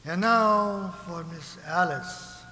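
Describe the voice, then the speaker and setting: Man playing a slow, high-pitched lecherous alien tyrant. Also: bright, forceful tone, heavily acted. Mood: triumphant. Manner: oily, savoring courtly menace. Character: lecherous, pompous alien vegetable ruler, gloating and menacing. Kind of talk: radio play acting. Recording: roomy echoing hall with background hiss.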